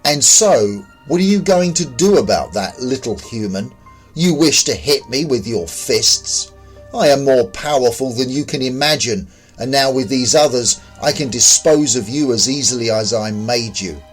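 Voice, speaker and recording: A man's voice: mid-range voice, lively intonation, moderate pace, breathy edge, faint music behind. A man voicing a contemptuous, powerful alien demon in an audio story, with a sneering, threatening manner. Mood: contemptuous anger. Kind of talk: audiobook character dialogue.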